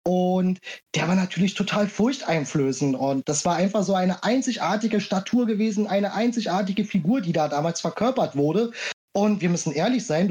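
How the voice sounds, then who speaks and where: A man's voice: high voice, darkish tone. A middle-aged man from Berlin speaking as a podcast guest, explaining things in casual conversation. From Berlin, Germany. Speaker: podcast guest, wrestling expert.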